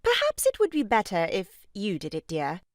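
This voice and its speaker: A woman's voice: mid-range voice, wildly swooping pitch, normal speed, dry recording, studio quality. A woman playing an arch, mock-pious young sister-in-law in a comic stage farce, scolding in a grand theatrical way. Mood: arch, teasing.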